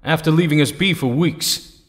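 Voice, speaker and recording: Man playing steady young hero, long reverb. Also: fairly high pitch, normal speed, lightly acted. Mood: grave, suspicious. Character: steady, reassuring young male captive hero. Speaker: voice actor. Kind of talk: audio drama acting.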